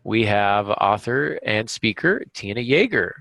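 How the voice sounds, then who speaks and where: A man's voice: fairly low pitch, lively intonation, measured pace, gravelly, fairly bright tone, no echo. A man hosting a podcast in a casual, informal way with some self-deprecating asides. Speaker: podcast host.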